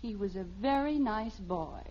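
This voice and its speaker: An American actress playing a sweet, innocently evasive housewife and mother in a 1950s radio sitcom, placating and gently defensive. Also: mid-range voice, lively intonation, a little slow, close to an everyday voice. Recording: dull, slightly noisy old radio recording.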